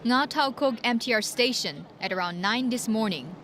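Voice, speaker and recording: Slightly high female reporter over traffic noise. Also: animated, moderate pace, a bit bright. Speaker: news reporter. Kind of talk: scripted news report narration. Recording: noisy urban traffic ambience behind.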